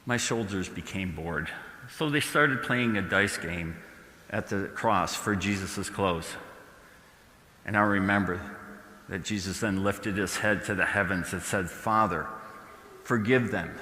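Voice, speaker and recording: Roman soldier played by a man: low, forceful, solemn and awed witness, church drama monologue in a reverberant hall. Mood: solemn reflection. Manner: solemn, deliberate storytelling with pauses.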